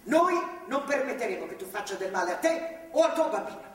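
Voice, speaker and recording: A woman's voice: on the high side, very animated, brisk. Echoey, distant older woman, devout and admonishing. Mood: stern, indignant. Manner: earnest, moralizing, admonishing. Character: devout older grandmotherly woman, stern and righteous captor. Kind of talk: theatrical stage and radio drama acting. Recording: distant, echoey hall, clearly noisy.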